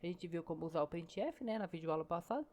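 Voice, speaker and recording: A woman's voice: on the low side, lively intonation, fast, a little mellow. A woman teaching programming in a casual screen-recorded lesson, chatty and step by step, often saying "ó" and "né".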